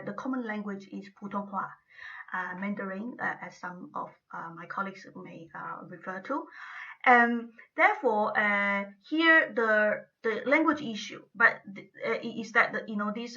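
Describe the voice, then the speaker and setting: A woman's voice: medium pitch, bright, forceful tone, lo-fi sound. A woman giving an academic lecture, explaining at length and often checking in with her listeners.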